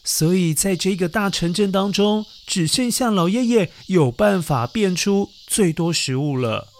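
Man, children's storyteller, gentle and coaxing, playful sound effects, clear backing music. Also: high-pitched. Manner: gentle, coaxing, addresses young listeners as little ones. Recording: narration over backing music.

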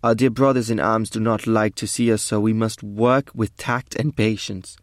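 A man playing a German army medical officer of the Second World War, reading his diary in a measured, formal way. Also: fairly low pitch, steady tone, no room sound, studio quality, close to an everyday voice. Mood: resigned.

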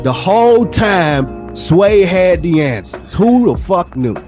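A man co-hosting a casual podcast, talking in a slangy, animated storytelling way with some swearing. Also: high voice, takes it slowly, soft background music. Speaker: podcast co-host.

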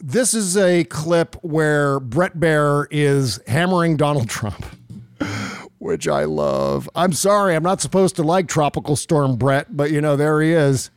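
A man with a fairly high voice hosts a casual political comedy podcast in a close, dry recording. His delivery is animated and mocking, swinging widely in pitch and peppered with swearing. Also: unhurried, clear, resonant tone, no room sound. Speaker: podcast host.

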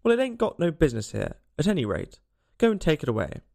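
A man's voice: a little high, wildly swooping pitch, fast talker, vocal fry, a little mellow, full character voice. A man voicing an angry, imperious rabbit master who scolds servants in a storybook reading. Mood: angry, bossy.

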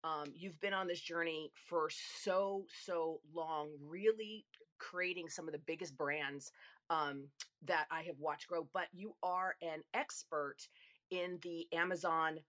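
A woman from Italy co-hosting a live audio show and introducing a guest in a gushing, excited way with many fillers. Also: sits low, takes it slowly. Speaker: show co-host. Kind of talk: casual chat.

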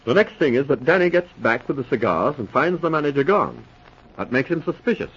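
Earnest, animated police subordinate, husky. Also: male voice, mid-range voice, brisk. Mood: earnest, reporting. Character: earnest, puzzled police subordinate reciting case facts. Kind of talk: 1940s radio drama acting. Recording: muffled vintage radio recording.

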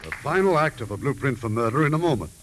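Animated male 1950s radio host. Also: mid-range voice, quick pace. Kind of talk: scripted 1950s radio interview. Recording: hissy old 1950s radio broadcast.

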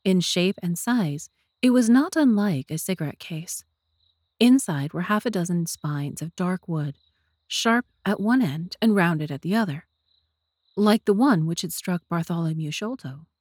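A woman's voice: fairly low pitch, lively intonation, measured pace, darkish tone, dry recording, clean studio sound. A woman reading scripted story narration as the narrator of a dramatised audiobook.

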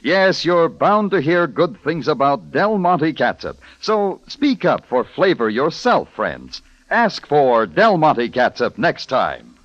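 A man's voice: a little high, very animated, slow, few pauses, a bit bright. A man with an American accent delivering a polished, salesmanlike scripted sponsor announcement in 1940s radio style. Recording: dull, echoing vintage radio recording.

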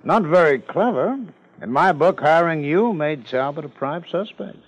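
A man's voice: fairly high pitch, lively intonation, moderate pace, poor audio. An American man acting a shrewd, reflective police lieutenant in a 1950s radio drama, conversational and musing.

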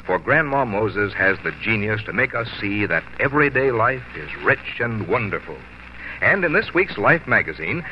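Guy doing an old 40s radio ad read, very lively pitch, muffled w a bit of hiss. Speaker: radio announcer. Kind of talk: 1940s scripted radio commercial. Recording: muffled old radio broadcast, faint noise.

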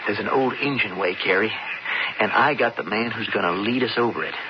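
An actor playing an earnest, intense young cowboy outlaw with folksy, insistent Western phrasing in a radio drama. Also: male voice, on the low side, brisk, lightly acted. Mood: determined, confiding. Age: young adult. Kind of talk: old-time radio drama acting. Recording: muffled, noisy old radio recording.

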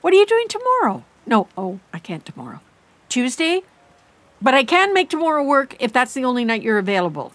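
A woman's voice: high voice, huge pitch swings, brisk, fairly bright tone, no room sound, heavily acted. An actress playing an anxious, prying middle-aged mother in an audio drama, fussing and rambling as she talks. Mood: eager, pleading. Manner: fussing, rambling, hesitant when defensive. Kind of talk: naturalistic audio drama dialogue.

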